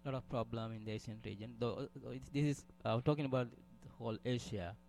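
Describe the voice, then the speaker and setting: A man's voice: mid-range voice, fairly even intonation, fast, creaky, darkish tone, slight background noise. A man from Sri Lanka giving a conference presentation, hesitant, with many fillers and restarts. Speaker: conference speaker.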